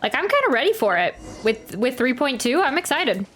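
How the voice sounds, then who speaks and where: A woman livestreaming a video game, chatting casually and thinking out loud as she reacts and comments. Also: high voice, very animated, fairly quick, slight background noise. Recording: game music behind the voice.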